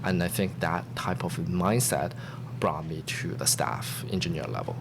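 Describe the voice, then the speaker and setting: Low-voiced man, earnest and reflective. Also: moderate pace, gravelly. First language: not English. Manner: earnest, reflective, with frequent restarts. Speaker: podcast guest, staff software engineer. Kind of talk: interview answers. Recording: steady background noise.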